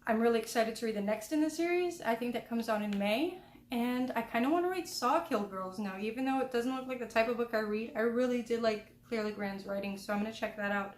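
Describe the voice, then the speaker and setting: A woman's voice: fairly high pitch, brisk. A young woman chatting casually about books she read, rambling and self-deprecating, talking into a headphone mic at home. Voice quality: small, soft voice. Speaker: book vlogger. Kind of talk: casual book review chat. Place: home room. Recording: echoey room, cheap mic.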